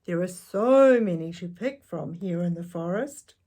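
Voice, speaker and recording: A woman's voice: fairly low pitch, lively intonation, unhurried, warm tone. A woman doing a sly, coaxing big bad wolf. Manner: coaxing, falsely sweet. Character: sly, smooth-talking male wolf. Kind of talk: storybook read-aloud.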